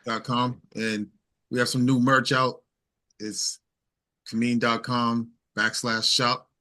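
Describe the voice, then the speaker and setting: A man's voice: mid-range voice, flat delivery, slow pace, sharp tone. A man speaking casually and colloquially as a singer-songwriter being interviewed.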